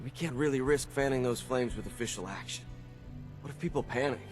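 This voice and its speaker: An actor playing an easygoing city security guard in a video game, friendly, informal and apologetic. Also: male voice, medium pitch, fairly quick, music in the background, natural voice. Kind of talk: video game dialogue acting.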